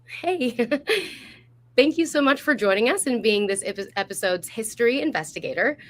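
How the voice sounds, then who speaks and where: A woman's voice: on the high side, expressive, moderate pace, a bit bright. A woman presenting as the host of a history program, reading a scripted introduction in a welcoming, upbeat manner on a live online stream.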